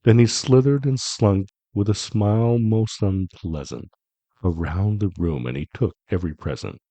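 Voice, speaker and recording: Low, creaky male storyteller, theatrical. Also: mellow, no room sound. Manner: theatrical, measured, relishing the rhymes. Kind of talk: dramatic rhyming children's story narration.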